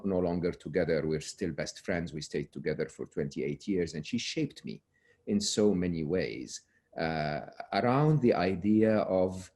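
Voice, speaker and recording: Man in his 50s, very low voice, earnest guest on a remote call, trailing off softly at ends of points. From Egypt. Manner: earnest, frequent restarts, drops voice to close points. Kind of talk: relaxed interview talk.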